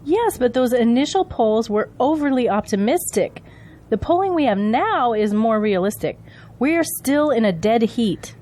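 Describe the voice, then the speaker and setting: A woman's voice: on the high side, lively intonation, normal speed, mellow. A woman playing an indignant, loyal political campaign aide in an audio drama, defensive and argumentative.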